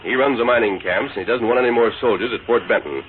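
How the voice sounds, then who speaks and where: An actor playing a commanding, resolute masked western hero in 1940s radio style, speaking with decisive authority. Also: male voice, mid-range voice, quick pace, close to an everyday voice. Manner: authoritative, decisive, clipped orders. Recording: noisy, tinny 1940s radio recording.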